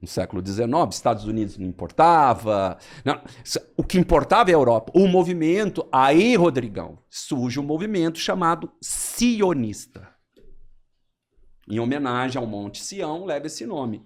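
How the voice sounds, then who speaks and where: A Brazilian man on a podcast, explaining history in a lively storytelling way and acting out quotes. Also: normal speed. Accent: Brazilian Portuguese. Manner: lively storyteller, animated swings in pitch, acts out quotes.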